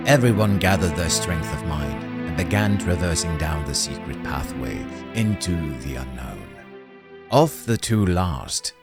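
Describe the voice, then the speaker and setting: Deep slow guy reading a dramatic story, really expressive, music under him. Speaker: narrator. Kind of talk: scripted dramatic story narration.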